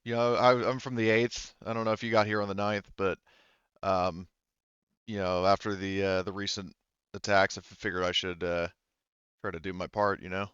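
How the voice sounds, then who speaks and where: A man voicing a friendly, earnest colonist in a tabletop game, speaking haltingly and informally. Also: sits low, level tone, a bit bright, clean tone, dry recording, muffled recording. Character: friendly male colonist volunteering for security.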